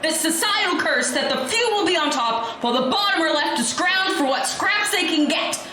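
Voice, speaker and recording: An actress playing a fiery young radical rallying rebels, delivering an impassioned, declamatory speech in an audio drama. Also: female voice, very high-pitched, lively intonation, moderate pace, pushed and bright, heavily acted. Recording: very echoey, faint background noise.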